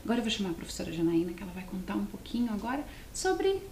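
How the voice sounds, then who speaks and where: A woman's voice: mid-range voice, sing-song, racing, darkish tone. Woman, southern Brazilian accent, teacher, explanatory and questioning, echoey room, faint background noise. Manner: explanatory, often asks questions and adds checking tags. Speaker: language teacher presenting a televised class. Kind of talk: didactic lesson addressed to students.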